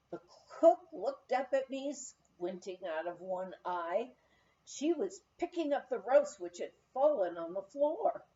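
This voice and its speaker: A woman narrating a written memoir as an audiobook reader, with expressive storytelling. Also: fairly high pitch, highs cut off, slight background noise.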